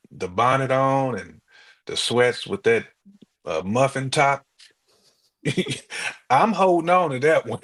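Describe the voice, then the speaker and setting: A man's voice: mid-range voice, a bit bright. An American man co-hosting a podcast, giving opinions in an informal, slangy, conversational way. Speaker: podcast co-host. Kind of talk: casual chat, giving opinions.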